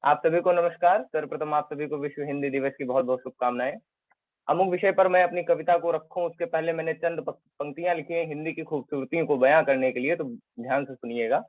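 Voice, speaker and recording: A man's voice: a little high, steady tone, brisk, no room sound. A man reciting a poem in a formal, declamatory manner, repeating lines, over an online call. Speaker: poetry recital participant. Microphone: video call mic. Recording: tinny phone-like call audio.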